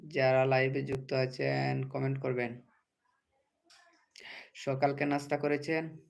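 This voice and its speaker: A man's voice: medium pitch, little pitch movement, slow, creaky. A man hosting a casual livestream, speaking directly to commenters and often repeating his phrases. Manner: repetitive, addressing commenters directly. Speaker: livestream host. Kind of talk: casual livestream chat with viewers.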